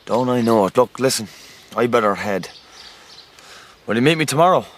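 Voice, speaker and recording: A plaintive young Irish farm labourer in a radio drama, performed by a male actor. He pleads haltingly, his pitch rising and falling a great deal. Some ambience sits behind him. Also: expressive, a bit bright, a little hiss or hum, close to an everyday voice. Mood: resigned, pleading. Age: young adult. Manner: hesitant, pleading.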